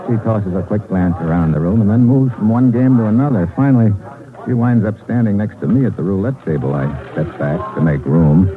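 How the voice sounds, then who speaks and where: A man's voice: fairly low pitch, a little fast, crowd noise, close to an everyday voice. A man playing a tough, cool undercover American agent in an old radio drama, speaking in clipped slang with an American accent. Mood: cool, wry. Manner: clipped, slangy hardboiled delivery. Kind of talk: old-time radio drama acting with first-person hardboiled narration. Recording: old radio recording, narrow band, music behind.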